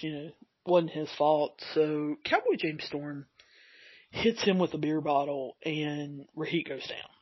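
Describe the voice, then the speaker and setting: A man hosting a solo podcast, recapping in a rambling way with fillers, his voice getting a bit raspy. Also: a little high, no room sound, highs cut off. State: slightly raspy voice. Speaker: podcast host.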